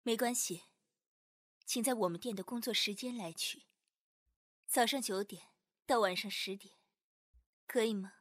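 Casting: actress as excitable, bossy young woman who loves food; high-pitched, husky edge, radio play delivery. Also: a little slow, pauses often, no echo. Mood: polite, businesslike. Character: brash, food-loving young woman cafe owner. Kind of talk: Chinese audio drama acting.

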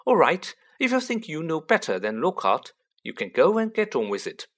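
A narrator voicing a scolding, bustling middle-aged mother witch in an audiobook, fussy but fond, with sharp exclamations. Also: medium pitch, very animated, moderate pace, pitched above the performer's own voice.

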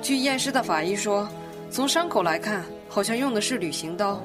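A woman's voice: medium pitch, lively intonation, normal speed, natural voice. A woman playing a composed, inquisitive amateur investigator who speaks precisely in a radio drama. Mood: analytical, probing. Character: composed, inquisitive woman analyzing a murder case. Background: music.

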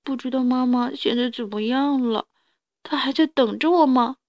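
A little dinosaur boy from a storybook, played by a woman, with a high, childlike voice. He is sweet and eager, quick to plead and exclaim, and close to tears at any time. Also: darkish tone, dull audio. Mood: sad. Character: eager, tearful baby Spinosaurus boy, sweet and grateful.

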